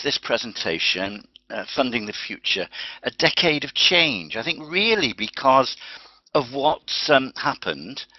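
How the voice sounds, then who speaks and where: A man's voice: fairly low pitch, no echo. Older Welsh man giving a webinar talk. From Wales. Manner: chatty, anecdotal, with frequent restarts. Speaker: guest speaker. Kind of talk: webinar presentation. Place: home study, indoors. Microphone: webcam on computer. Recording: dull lo-fi webinar audio.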